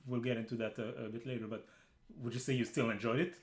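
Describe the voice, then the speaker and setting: A man's voice: medium pitch, level tone, a little mellow. Guy hosting a chat, lots of uhs, mid voice, echoey room. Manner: halting, many uhs. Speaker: host. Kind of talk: casual interview chat.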